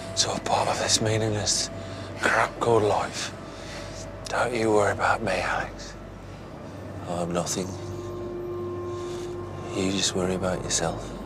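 An actor playing a bitter, defeated man who speaks quietly and with resignation. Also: mid-range voice, steady tone, fast talker, few pauses, noisy background, close to an everyday voice. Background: music score.

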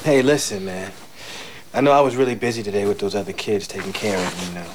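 A man's voice: on the low side, fairly even intonation, fast, a little hiss or hum, lightly acted. An actor playing a middle-aged father who runs a day care, easygoing and coaxing with the kids in a film scene. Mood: tender. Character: harried but warm dad minding a crowd of kids.

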